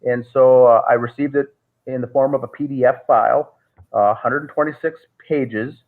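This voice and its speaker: A man's voice: mid-range voice, steady tone, normal speed. A man talking as an interview guest on a call, telling a long story with many "uh" fillers and restarts. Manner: rambling, frequent "uh" fillers and restarts. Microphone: call audio. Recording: tinny phone-like call audio.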